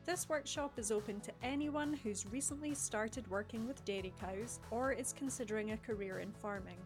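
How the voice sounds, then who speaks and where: A woman's voice: a little high, moderate pace, over music. A woman hosting a podcast, reading out scripted announcements and introductions. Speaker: podcast host.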